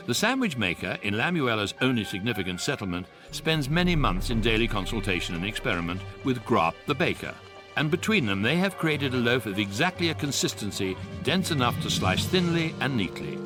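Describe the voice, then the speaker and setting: A man's voice: medium pitch, lightly acted. Radio drama narrator, male actor: wry, exact, mock-serious, very varied intonation, music bed. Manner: deliberate, precise, mock-serious. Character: wry, measured narrator of an absurd sci-fi tale. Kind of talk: scripted comic science fiction radio narration. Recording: music playing behind the voice.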